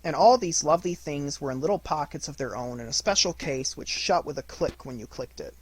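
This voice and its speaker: A man reading a children's audiobook in an expressive narration style. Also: a little high, brisk, slightly rough, low-quality recording. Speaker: audiobook reader.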